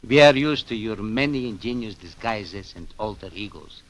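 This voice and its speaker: A man's voice: lowish voice, animated, normal speed, dull audio. An actor playing an effusive, fussy elderly prince and prime minister, fatherly but insistent, in an old-time radio drama. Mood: grave, reproachful. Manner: courtly, fussy, exclaiming.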